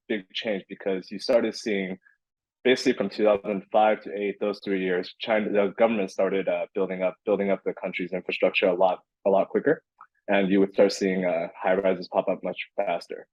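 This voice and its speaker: A man's voice: deep voice, flat delivery, moderate pace, no room sound, muffled recording. A man in his thirties, a podcast guest, answering casually with many restarts and fillers. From born in California, raised in Beijing. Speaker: podcast guest, crypto entrepreneur.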